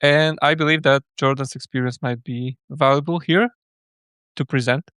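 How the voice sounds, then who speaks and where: A man's voice: medium pitch, fairly even intonation, moderate pace, fairly bright tone, smooth, dry recording, studio quality. A man hosting a casual live interview, introducing his guest and putting questions to him. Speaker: livestream host and interviewer.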